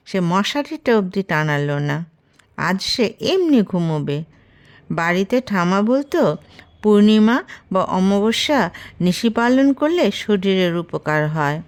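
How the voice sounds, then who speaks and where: Slow, clear-voiced woman storyteller. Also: mid-range voice, darkish tone. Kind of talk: scripted narration of a literary short story.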